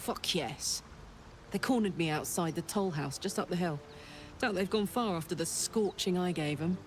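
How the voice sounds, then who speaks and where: A woman's voice: on the low side, moderate pace, soft background music, natural voice. An actress playing a brash, fierce female warrior escaped from hell in a video game, blunt, sweary and informal in manner. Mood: confident, eager. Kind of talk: video game character acting.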